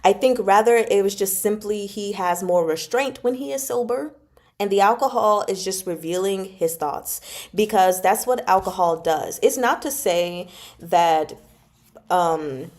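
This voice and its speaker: A woman's voice: mid-range voice, measured pace. Chatty, giggly woman retelling a story. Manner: animated, giggly, slangy, acts out characters' reactions. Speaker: book club host and storyteller. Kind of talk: casual chat, retelling a novel to viewers.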